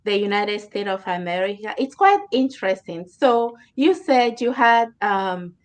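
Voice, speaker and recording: A woman's voice: mid-range voice, measured pace. A woman hosting an online interview, introducing her guest and prompting friendly questions. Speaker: host.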